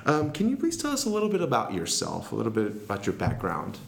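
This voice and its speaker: A man's voice: lowish voice, huge pitch swings, fast, creaky, a little hiss or hum. A man hosting a podcast interview, asking questions in a hesitant way with many fillers. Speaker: resident interviewer. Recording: echoey room.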